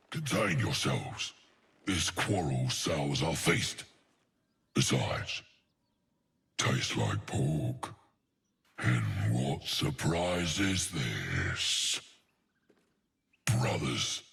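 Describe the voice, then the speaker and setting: Very deep, gravelly, pompous ogre. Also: male voice, big pitch swings, very slow, pauses often, a bit bright, heavily acted. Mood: haughty, pleased. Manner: pompous, florid, theatrical, savoring words. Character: huge gluttonous, greedy, eloquent male ogre mercenary. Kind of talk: video game character acting.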